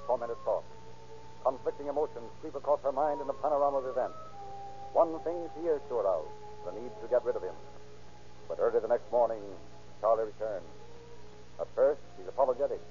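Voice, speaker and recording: A man's voice: mid-range voice, lightly acted. An American man narrating a vintage radio drama in a dramatic, literary style. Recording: muffled old radio recording with music.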